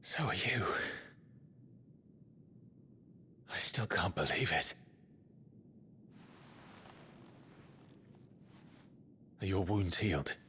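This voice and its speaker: An actor playing a brooding, guilt-ridden young nobleman warrior with a British accent, halting and intense, in a video game. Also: sits low, lively intonation, bright, forceful tone, a little hiss or hum. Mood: relieved, remorseful. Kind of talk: dramatic video game cutscene acting.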